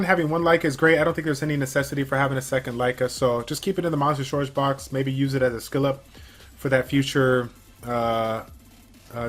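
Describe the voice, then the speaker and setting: A man hosting a casual game livestream, reading viewer questions from chat and answering in a relaxed, filler-heavy way. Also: medium pitch. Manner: chatty, many fillers, reads viewer questions aloud. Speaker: stream host.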